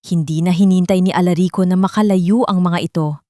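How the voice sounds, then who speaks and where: A woman's voice: fairly low pitch, fairly even intonation, brisk, mellow, dry recording. Woman narrating drama, close dry sound. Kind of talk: dramatic scripted audio story narration.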